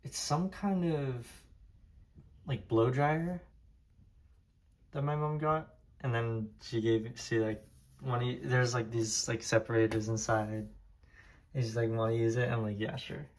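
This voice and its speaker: A man's voice: medium pitch, steady tone, measured pace, long pauses. A young man from Taiwan, a native Hokkien speaker, casually rambling as he tours his diecast collection room, heavy on fillers and restarts. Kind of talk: casual room tour vlog.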